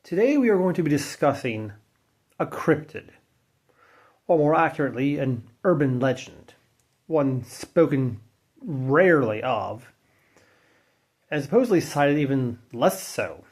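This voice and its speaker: Wry man telling folklore, lively pitch. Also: measured pace, pauses often, slightly rough. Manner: wry and offhand, with mild swearing; loose delivery with fillers and restarts. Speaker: narrator and channel host. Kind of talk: casual spoken folklore narration.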